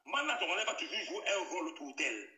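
A bright, sharp-toned man, his high voice swinging widely as he presses each point. He keeps repeating himself and hedging with air quotes. The sound comes from a roomy space, set back from the mic. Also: very animated, fast talker. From Bamenda, Cameroon. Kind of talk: video call discussion. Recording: roomy echo, distant from the mic.

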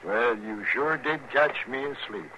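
An actor playing a cocky, unruffled outlaw with a sardonic manner in a 1950s western radio drama. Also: male voice, mid-range voice, animated, unhurried, pushed and bright. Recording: muffled, noisy 1950s radio recording.

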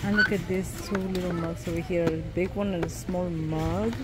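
A young woman chatting casually and excitedly to her phone camera while shopping and then eating out. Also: on the low side, moderate pace, over loud music. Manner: chatty, excited, exclaims over cute things. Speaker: vlogger. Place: busy store and fast-food restaurant. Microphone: handheld phone camera. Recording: noisy public place ambience.

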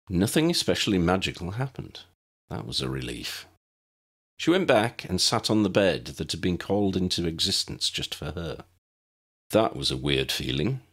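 A man narrating a scripted story in a measured, expressive storybook manner. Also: low voice, breathy edge. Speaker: audiobook narrator and author.

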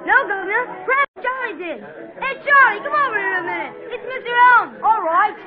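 A woman's voice: very high-pitched, lively intonation, brisk. A woman voicing a cheeky, eager cockney street boy in 1940s radio acting. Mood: chirpy. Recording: lo-fi old radio with crowd noise. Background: crowd.

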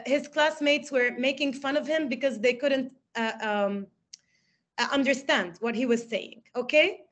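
Woman university lecturer from Lebanon, slightly high voice, reflective webinar delivery. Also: fairly bright tone. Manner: reflective, asks many rhetorical questions. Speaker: English lecturer.

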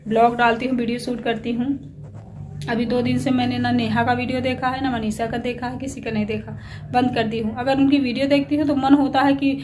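A woman's voice: fairly high pitch, a little mellow. A middle-aged woman chatting casually and confidingly to viewers at home, rambling, sounding unwell, tired and slightly breathless. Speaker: small family vlogger. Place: at home, alone. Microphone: handheld phone. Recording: clearly noisy handheld phone recording.

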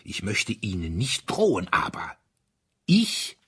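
A man's voice: on the low side, huge pitch swings, takes it slowly, fairly bright tone, slightly rough, heavily acted. A man voicing a courteous, solemn priest in an audiobook, speaking with grave emphasis. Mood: thunderous warning. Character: kindly priest turning sternly menacing, courteous and solemn. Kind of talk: audiobook character voice.

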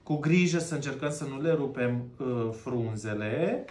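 A man's voice: mid-range voice, expressive, darkish tone. A man in his thirties from Romania hosts a playful mock cooking show about plants, laughing often and drawing out gushing words while working with his hands. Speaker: host of a plant arranging show. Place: home room with plant wallpaper. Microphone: camera at a few feet. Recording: echoey room.

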